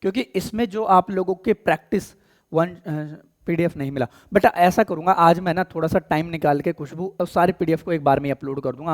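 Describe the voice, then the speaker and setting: A male teacher with an Indian accent and a hoarse, worn voice that sits a little high. He speaks softly and deliberately, stops to clear his throat, and addresses his students with fond, familiar words. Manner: affectionate, calls students "beta", clears throat often; deliberately soft, slow speech. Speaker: online exam-prep English teacher. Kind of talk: live online class, Hindi-English mix.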